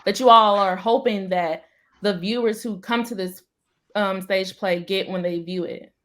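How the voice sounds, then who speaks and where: Woman, unhurried pace, medium pitch, interview host, conversational questions. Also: a bit bright.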